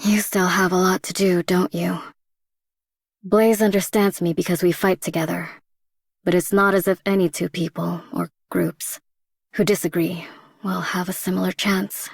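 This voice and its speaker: A woman voicing a reserved, dutiful female operator in a video game, quiet and serious. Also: on the low side, level tone, normal speed, creaky, breathy edge, dry recording, clean studio sound. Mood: solemn. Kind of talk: English video game character voice lines.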